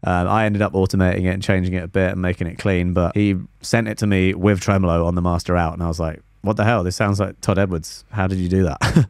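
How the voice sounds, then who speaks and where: A man's voice: low-pitched, fast talker, dry recording. A young man, a music producer, casually talks through a track in his small home studio, sometimes scatting melodies to show what he means. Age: young adult. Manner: relaxed, scats melodies to demonstrate. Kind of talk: casual explanatory stream breakdown. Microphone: studio mic on boom arm.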